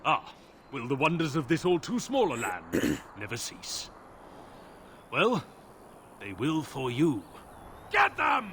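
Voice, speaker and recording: A man's voice: on the high side, wildly swooping pitch, takes it slowly, pauses often, fairly bright tone, a little hiss or hum, heavily acted. A man playing a smug, theatrical swindler in a fantasy video game, with florid mock courtesy. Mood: smug menace. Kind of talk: video game character acting.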